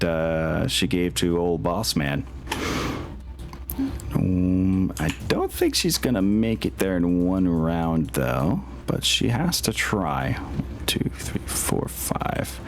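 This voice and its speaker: A man narrating a tabletop game as game master, informal and profane, often thinking aloud. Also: low voice.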